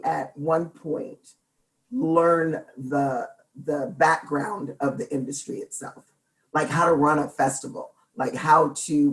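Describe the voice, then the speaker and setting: Middle-aged woman, deep gravelly voice, relaxed and jokey interview guest. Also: low-quality recording. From Seattle, United States. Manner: chatty, laughs often, jokes about herself. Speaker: guest singer. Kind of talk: casual interview chat.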